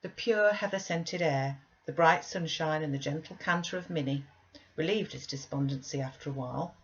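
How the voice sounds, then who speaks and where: Casting: female audiobook narrator for classic fiction, deep register, mildly lo-fi recording. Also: moderate pace. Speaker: audiobook reader. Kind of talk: scripted literary narration.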